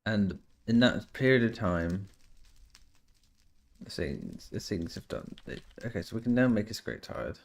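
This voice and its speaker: A man's voice: fairly low pitch, expressive, measured pace. A man streaming a survival game, chatting to viewers and thinking aloud as he plays, sounding a bit nasal and sniffly from a cold. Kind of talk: casual gameplay commentary.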